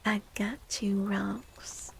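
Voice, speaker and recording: A woman speaking softly and breathily, often near a whisper, in an unhurried, gentle ASMR livestream chat. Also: medium pitch, level tone, gravelly, slight background noise, poor audio. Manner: gentle, slow, unhurried, addressing chatters. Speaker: livestream host and tarot reader.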